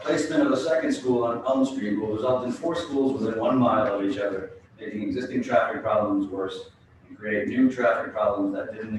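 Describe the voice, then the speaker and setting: A man with a lowish voice presents at a public forum and works through his slides in a measured way. He is recorded off-mic, so his voice rings around a very echoey meeting hall, with faint room hum underneath. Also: distant mic. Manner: measured, reads slides and fields interruptions. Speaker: school building committee presenter.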